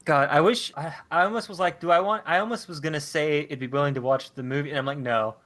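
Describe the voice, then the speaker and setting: A man's voice: fairly high pitch, fast, fairly bright tone. A man co-hosting a podcast, chatting casually in a rambling, enthusiastic fan's way. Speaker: podcast co-host.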